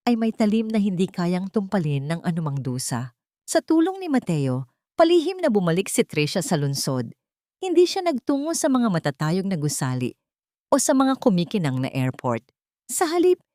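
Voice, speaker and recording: A woman reads a dramatic story aloud in a solemn, weighty manner. Her voice sits on the low side, and the recording is dry and close, with no room sound. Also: a little mellow, dry recording. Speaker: narrator.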